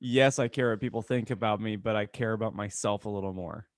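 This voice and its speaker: A man's voice: on the low side, fast, no room sound, clean studio sound. A man co-hosting a podcast in casual, jokey, self-deprecating chat. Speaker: podcast co-host.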